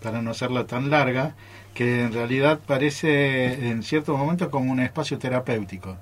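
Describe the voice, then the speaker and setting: A man's voice: medium pitch, normal speed. An Argentine man chatting informally and jokingly as part of a group interview in a radio studio. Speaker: radio theatre group member. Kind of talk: casual group interview chat.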